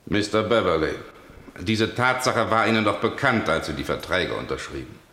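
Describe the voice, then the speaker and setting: Stern judge in an echoey room. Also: male voice, low-pitched, animated, normal speed, bright, forceful tone. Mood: formal, probing. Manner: formal, insistent, interrogating. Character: stern male judge pressing for answers. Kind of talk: German radio play acting.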